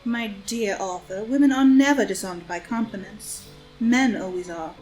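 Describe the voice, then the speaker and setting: A woman's voice: medium pitch, moderate pace, a little mellow, soft background music, natural voice, low-quality recording. An actress playing a worldly, scheming society woman with a languid, arch wit, in an audio drama reading of a stage play. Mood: arch, amused. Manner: languid, arch, epigrammatic delivery. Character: scheming, worldly, witty society woman, cynical and coolly seductive.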